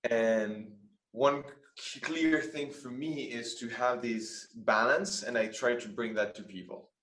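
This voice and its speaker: A man's voice: medium pitch, slow, a bit bright. Guest, man, earnest and searching for words, echoey room. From France. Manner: earnest, restarts phrases often. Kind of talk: conversational interview.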